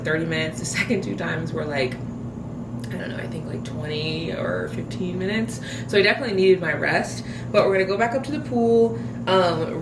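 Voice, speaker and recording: A young American woman with a slightly crackly, tired voice, chatting casually and rambling in an informal book vlog. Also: on the low side. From US Midwest. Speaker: book vlogger. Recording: roomy echo, distant mic, clear background noise.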